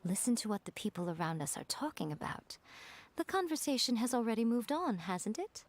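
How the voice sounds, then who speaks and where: A woman's voice: a little high, big pitch swings, fairly quick. A woman voicing a poised, sly, confident female schemer in a video game, composed and teasing. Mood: knowing, amused.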